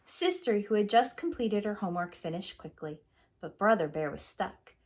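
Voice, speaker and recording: A woman's voice: medium pitch, expressive, moderate pace. A woman preschool teacher narrating a children's picture book as a storyteller.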